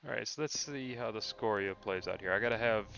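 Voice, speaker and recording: A man's voice: fairly low pitch, brisk, creaky, a bit bright, muffled recording, slight background noise. A man giving casual gameplay commentary as a streamer, thinking aloud in an informal, slangy way. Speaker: game streamer.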